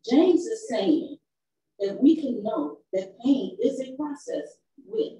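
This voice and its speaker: A woman minister giving a measured, emphatic devotional reading in a church sanctuary. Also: sits high, very animated, warm tone. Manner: measured, emphatic pulpit delivery. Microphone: far camera. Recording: echoey church from far off.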